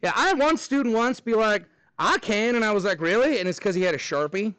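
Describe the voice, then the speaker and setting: A man with a Texan accent teaches a math class, his voice sitting high for a man. He jokes with the students between problems. The sound is dry and close, with no room echo. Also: animated, fairly quick, fairly bright tone. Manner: chatty, joking asides to students. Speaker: middle school math teacher. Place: school classroom.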